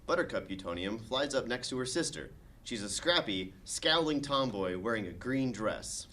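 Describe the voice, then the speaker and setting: A man's voice: medium pitch, expressive, normal speed, bright, forceful tone. A man reading stage directions and narration aloud as the narrator of a comedy script table read.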